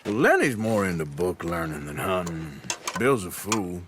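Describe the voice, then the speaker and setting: An actor playing a gruff, sardonic American outlaw gunman in a video game cutscene, with a dry, sarcastic delivery. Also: sits low, big pitch swings, moderate pace. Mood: dry scorn. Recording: clear background noise of outdoor ambience.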